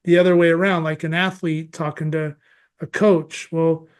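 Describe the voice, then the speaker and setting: A man with an American accent hosting a casual interview, speaking thoughtfully and haltingly. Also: fairly high pitch, level tone, clear, resonant tone. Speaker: podcast host.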